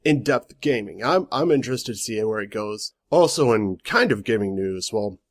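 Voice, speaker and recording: A man's voice: lowish voice, lively intonation, normal speed, no echo, clean studio sound. A man hosting a casual gaming news vlog, sounding congested and nasal from a cold, rambling and correcting himself as he goes. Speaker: host.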